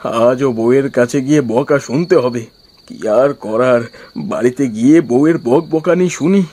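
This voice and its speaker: A man's voice: mid-range voice, unhurried, mellow, natural voice. A man playing a luckless, lamenting village vegetable seller and husband in a cartoon. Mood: despairing. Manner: lamenting, pleading.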